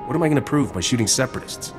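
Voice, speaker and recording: A man's voice: mid-range voice, expressive, rapid-fire, natural voice. A man voicing a cocky, blunt smuggler captain in a video game, with a sardonic manner. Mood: sceptical. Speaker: game protagonist actor. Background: game music.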